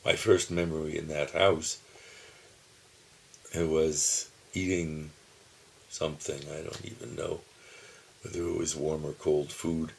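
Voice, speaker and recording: A man in his seventies, reminiscing slowly about his childhood, pausing often with soft chuckles, who calls his own voice dull. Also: a bass voice, flat delivery, gravelly, slight background noise. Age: 70s. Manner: slow, musing, with soft chuckles and pauses. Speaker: video diarist. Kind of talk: reflective personal reminiscing. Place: home, seated at his computer. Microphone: computer camera mic.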